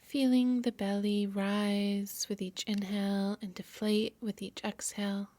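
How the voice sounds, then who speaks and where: A woman yoga nidra instructor softly narrating a guided meditation in a soothing, measured, breathy voice. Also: mid-range voice, level tone, dry recording. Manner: soft, soothing, measured delivery.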